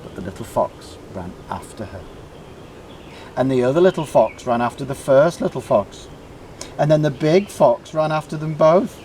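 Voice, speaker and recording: A man reading a children's story aloud in short, deliberately emphasised phrases. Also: mid-range voice, lively intonation, a little mellow, a little hiss or hum. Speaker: storybook reader.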